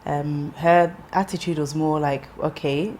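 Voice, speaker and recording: A woman in her thirties, raised in England, telling her life story in an interview in a measured, reflective way with frequent pauses and restarts. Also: deep voice, fairly even intonation, darkish tone, slight background noise. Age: 30s.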